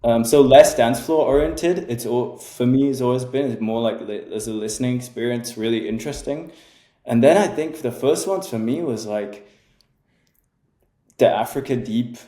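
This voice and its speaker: Guy in his 20s, producer, mellow kinda dark voice, lots of ums and restarts, echoey room. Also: medium pitch, moderate pace. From England. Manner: hesitant, many fillers and restarts. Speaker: music producer guest. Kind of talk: casual interview chat.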